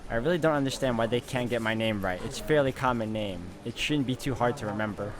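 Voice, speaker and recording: Male playthrough host, mid-pitched, reads story narration aloud with clear crowd noise behind. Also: fast. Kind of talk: reading visual novel narration aloud.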